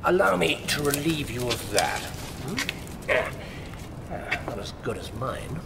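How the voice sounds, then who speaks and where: A shrewd English detective in a radio drama. He is assured, theatrical and sardonic, his pitch moving freely over a clear rumble of background noise. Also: sing-song, slow pace, heavily acted. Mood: gloating politely.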